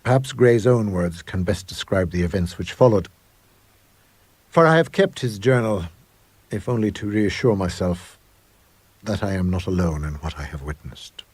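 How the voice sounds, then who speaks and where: A man narrating a scripted ghost story in a measured, suspenseful storytelling manner. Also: sits low, lively intonation, darkish tone, husky, no room sound. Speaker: audiobook narrator.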